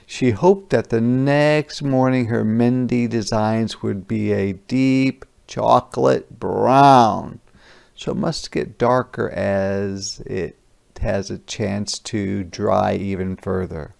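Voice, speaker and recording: A man reading a picture book aloud as a teacher, pausing to explain words simply in a deliberate storytelling manner. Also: lowish voice, fairly even intonation, creaky, darkish tone. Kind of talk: interactive children's read-aloud with explanations.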